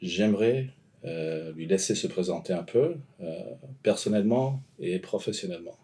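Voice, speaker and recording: A man's voice: deep voice, moderate pace, mellow. A man hosting a casual interview, hesitant and full of fillers. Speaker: podcast host.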